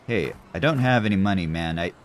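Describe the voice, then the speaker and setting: A man's voice: sits low, brisk, slight background noise, lightly acted. A man playing a casual, puzzled local guy in a comic audio drama. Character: puzzled, casual male acquaintance of a detective. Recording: clear street vehicle ambience behind voice.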